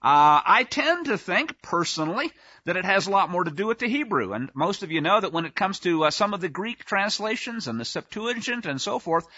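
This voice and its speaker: Husky, high, animated male preacher. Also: brisk, dry recording, highs cut off. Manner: folksy, conversational, sarcastic asides. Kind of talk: informal teaching sermon.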